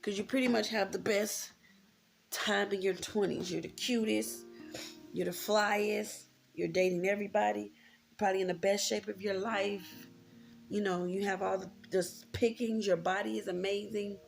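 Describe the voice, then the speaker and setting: Woman, thirties, American accent, gravelly fry, candid informal vlog talk, faint music behind. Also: medium pitch, steady tone, moderate pace. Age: 30s. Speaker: vlogger. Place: indoors, room with a closet.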